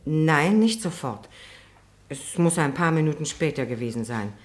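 Anxious female witness, very low voice, hesitant and deferential, radio courtroom drama. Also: animated, moderate pace. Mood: uneasy recounting. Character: timid, anxious woman witness testifying in court.

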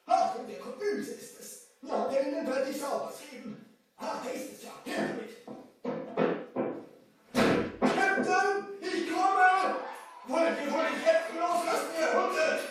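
Frantic, shrill raging ship's cook. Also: male voice, lively intonation, a little slow, full character voice. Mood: raging frenzy. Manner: wild, shouted, theatrical. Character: frantic, violent ship's cook, cursing and roaring. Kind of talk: 1970 German audio drama acting. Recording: echoey vintage LP, distant, music behind.

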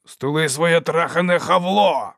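A man's voice: a little high, measured pace, a bit bright, dry recording, pitched above the performer's own voice. A belligerent male warrior from a fantasy audiobook, acted out by a male reader. He barks and snarls with a short temper and a foul mouth. The recording is close and dry. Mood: snarling rage. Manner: barking, snarling.

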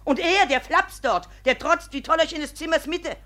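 A woman's voice: high voice, normal speed, pushed and bright. An actress playing an indignant, righteous middle-aged village mother in a 1950s radio play, declaiming emphatically. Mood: indignant, dramatic. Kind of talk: 1950s German radio drama acting.

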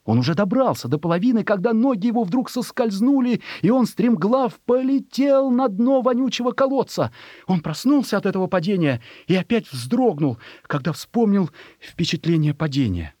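A man's voice: sits high, animated, normal speed, darkish tone, no echo, clean studio sound. A man reading a literary story as a narrator, expressively and with emotion, in a scripted dramatic style.

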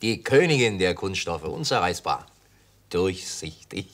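Cocky travelling salesman in a radio drama, deep voice, jovial, self-satisfied, no clue. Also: very animated, a little slow, fairly bright tone, exaggerated voice. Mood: boastful. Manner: self-satisfied, hesitating, chuckling. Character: boastful, jovial, unsuspecting travelling textile salesman. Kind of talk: German radio play acting.

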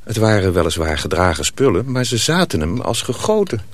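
A man's voice: lowish voice, big pitch swings, brisk, a little hiss or hum. A man narrating a radio drama from a script as a storyteller.

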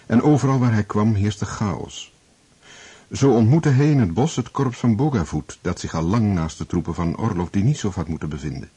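A man narrating a historical war tale in a radio play, grave and measured in his storytelling. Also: lowish voice, mellow, slight background noise, lightly acted.

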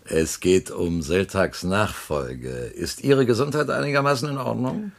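Imperious inspector for radio sci-fi: very low voice, cold, disdainful, clipped. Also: male voice, a little slow, creaky. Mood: brisk, probing. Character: cold, haughty male inspector from the space city. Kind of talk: 1970s German radio play acting.

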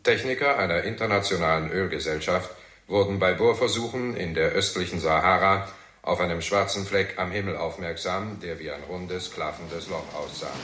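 Bulletin reader, reverberant, deep yet forceful, clipped, official, 1960s radio drama. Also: male voice, moderate pace. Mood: urgent, official. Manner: clipped, formal. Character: urgent, clipped male news announcer. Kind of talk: scripted news bulletin in 1960s radio play.

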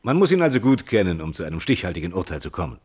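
Persistent cross-examining attorney, formal and pointed, strongly varied intonation. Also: male voice, low-pitched, sing-song, rapid-fire, a little mellow, breathy edge, exaggerated voice. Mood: probing. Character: probing, persistent male defence lawyer cross-examining a witness. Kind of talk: radio play courtroom acting.

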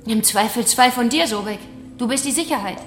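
A high-voiced female kidnapper played by a woman from a radio crime drama, coolly mocking and matter-of-fact as she runs her betting game. Her voice echoes in the room over clear music. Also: fairly quick, lightly acted. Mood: cool, explanatory. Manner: controlled, taunting, matter-of-fact. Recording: echoing room with music behind.

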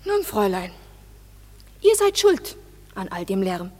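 A woman's voice: mid-range voice, sing-song, measured pace, darkish tone. An actress playing a haughty, quarrelsome young lady with a challenging theatrical delivery in a radio play. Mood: accusing. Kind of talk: classic German radio play acting. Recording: reverberant hall.

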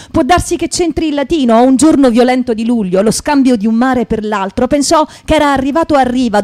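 A woman performer reads literary prose dramatically, radio theatre style. Her timbre is mellow and warm, pitched a bit high. The sound is very dry and close, with no room on it. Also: no room sound. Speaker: stage performer.

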